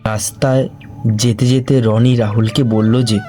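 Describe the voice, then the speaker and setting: A man narrates a cartoon ghost story in a slow, deliberate way. His voice is dark and mellow at a middle pitch, with faint music beneath it. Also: level tone. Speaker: narrator and channel host.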